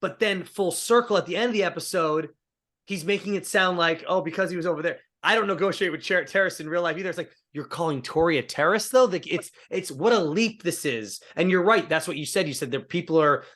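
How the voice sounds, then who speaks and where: A man co-hosting a casual TV aftershow chat, his voice rough from being sick, chatty and laughing as he thinks out loud. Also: high voice, quick pace. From Italian-American heritage. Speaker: co-host.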